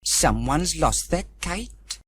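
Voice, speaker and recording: A man puts on a gossipy middle-aged woman shopkeeper, fussy and clucking. The words are stretched out slowly in a raised, indignant pitch for a children's storybook. Faint old tape noise runs underneath. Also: animated, fairly bright tone.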